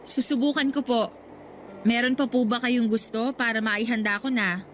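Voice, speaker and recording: A woman's voice: fairly high pitch, steady tone, moderate pace, a little hiss or hum, lightly acted. A woman playing a polite, put-upon young Filipino goddaughter in a radio drama, restrained and reluctantly obliging.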